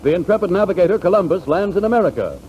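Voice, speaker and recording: A man's voice: sits high, animated, very fast, slight background noise. A man announcing a 1940s radio show in a formal, grandiose, polished manner. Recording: dull old radio broadcast, loud music.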